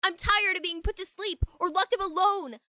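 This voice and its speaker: Fed-up young female lead, voiced by an actress, audio drama: very high, piercing, anguished and emphatic, dry close sound. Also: moderate pace, sharp tone, no echo. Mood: furious, anguished. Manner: emphatic, foul-mouthed. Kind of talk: fan fiction audio drama acting.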